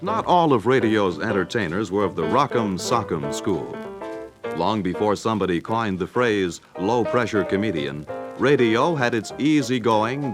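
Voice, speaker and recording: A low-voiced man reads scripted documentary narration with a lively, varied delivery. Music plays prominently behind him. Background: background music.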